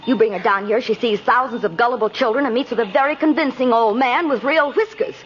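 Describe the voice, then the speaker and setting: An actress playing a brisk, no-nonsense mother with a firm, formal manner in a 1950s radio drama. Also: on the high side, close to an everyday voice. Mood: firm, earnest. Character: brisk, no-nonsense working mother who scorns fairy tales. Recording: muffled noisy old radio recording.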